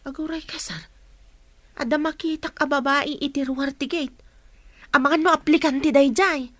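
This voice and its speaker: An actress playing a chatty, respectful household helper in an audio drama, friendly and deferential in manner. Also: female voice, high-pitched, steady tone, normal speed, dry recording, natural voice.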